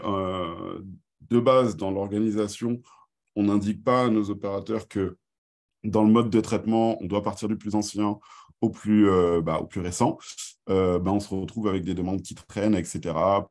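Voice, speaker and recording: Low male voice, informal workshop presenter, halting phrasing, frequent filled pauses. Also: steady tone, a little mellow. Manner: hesitant, halting delivery with many filled pauses.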